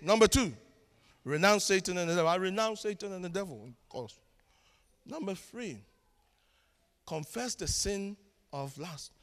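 A man with a Ghanaian accent preaching a sermon as pastor, telling stories with humor and swinging from shouts to hushed asides. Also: high voice. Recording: long hall reverb.

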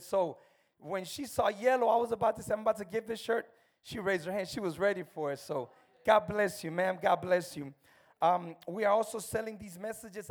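A man born in El Salvador and raised in America, with an American accent, preaching fervently as a guest in a church and calling out for amens. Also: sits high. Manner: fervent, calls for amens, shouts at peaks. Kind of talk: energetic church sermon.

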